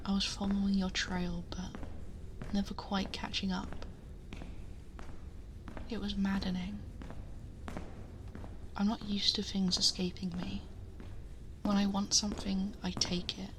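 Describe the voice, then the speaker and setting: A woman playing a possessive, protective dragon girl in an intimate ASMR roleplay, speaking softly and breathily, often whispering, close to the microphone in a measured murmur. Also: mid-range voice, little pitch movement, noisy background, lightly acted. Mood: possessive, firm. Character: possessive female dragon girl, protective, commanding yet tender. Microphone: close binaural ASMR microphone.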